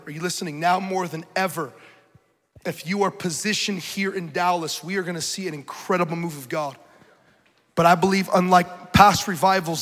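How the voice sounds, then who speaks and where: A man's voice: on the high side, fairly bright tone. A man in his thirties preaching an urgent, emphatic sermon in a large church hall. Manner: urgent, emphatic, rhetorical repetition. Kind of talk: passionate sermon. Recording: reverberant hall.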